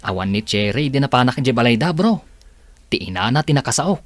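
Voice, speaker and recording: A man's voice: mid-range voice, very animated, a little fast, no echo, natural voice. A man acting a curious, hesitant buddy and go-between with an Ilocano accent in a radio comedy drama. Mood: explaining, hesitant.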